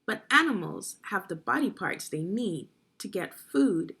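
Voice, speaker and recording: A woman narrating an instructional children's read-along as a teacher, phrasing deliberately and guiding young listeners through the text. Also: mid-range voice, animated, gravelly, fairly bright tone, husky. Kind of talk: instructional read-aloud of a children's nonfiction book.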